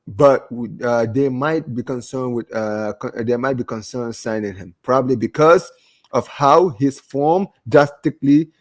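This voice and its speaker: A man's voice: mid-range voice, a little slow, no echo. Man hosting a casual, slangy football livestream. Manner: slangy, repeatedly addressing listeners as man, bro and guys; stumbling, repetitive delivery. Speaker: host of a live football fan show. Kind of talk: casual livestream chat, reading out viewer comments.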